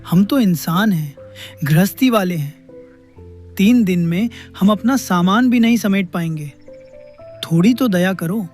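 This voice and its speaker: Man playing a slow, earnest elderly village patriarch. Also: high voice, lively intonation, darkish tone, soft background music. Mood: pleading, earnest. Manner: measured, earnest. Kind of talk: animated moral story dialogue.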